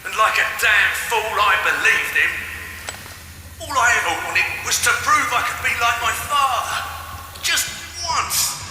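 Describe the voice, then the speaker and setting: A man's voice: high voice, measured pace, over music. An actor playing a desperate, bitter, betrayed man in a video game, strained and pleading. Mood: anguished, bitter. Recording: very echoey large hall.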